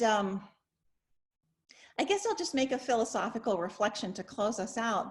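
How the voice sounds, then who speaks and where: An expressive woman speaking reflectively as a professor hosting an online discussion over a video call. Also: medium pitch. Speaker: host, English professor.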